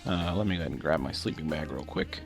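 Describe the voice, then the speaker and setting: A man's voice: rumbling low, fast talker, music in the background. A man with a stuffy nose from a cold, casually talking through his game with loose, rambling explanations and lots of fillers. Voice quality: nasal. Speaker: gameplay commentator. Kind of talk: casual game walkthrough commentary.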